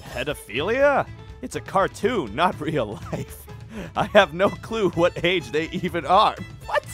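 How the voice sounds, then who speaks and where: A man's voice: on the high side, sing-song, measured pace, pushed and bright, faint music behind, heavily acted. A man playing an indignant, argumentative internet commenter in a comedic dramatic reading.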